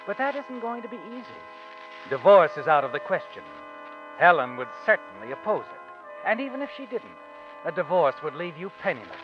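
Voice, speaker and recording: An actor playing an ominous, knowing storyteller, narrating in the second person in 1940s radio suspense style. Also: male voice, on the high side, very animated, a little fast. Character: ominous, knowing storyteller addressing the guilty man. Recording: muffled old radio recording. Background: dramatic music.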